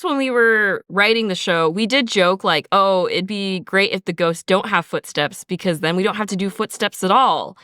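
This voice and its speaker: A woman's voice: medium pitch, bright, forceful tone, smooth, no room sound, clean studio sound. A woman podcast host talking as a sound designer, explaining methodically and conversationally with examples. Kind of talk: behind-the-scenes podcast discussion, explanatory.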